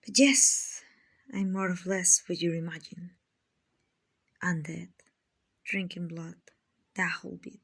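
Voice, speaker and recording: A woman voicing a kindly, genteel female vampire in a soft, soothing, half-whispered ASMR roleplay, with a breathy, husky voice. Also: fairly low pitch, flat delivery, full character voice. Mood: patient, explaining. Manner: slow, soothing, genteel, endearing. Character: gentle, kindly female vampire, soothing rich slave-buyer.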